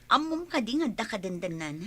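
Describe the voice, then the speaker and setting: A frank young woman in a radio drama, with a fairly high voice. She talks in an emphatic, conversational way and sounds sure of herself. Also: sing-song, normal speed, bright, forceful tone, heavily acted. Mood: frank, resigned. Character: young single woman, resolute and frank after heartbreak.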